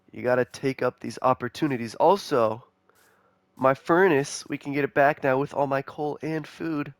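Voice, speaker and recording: A young man giving casual, rambling commentary over a game, excitable and chatty with viewers, his voice a little nasal from a cold. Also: mid-range voice, vocal fry, no room sound. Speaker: gaming YouTuber.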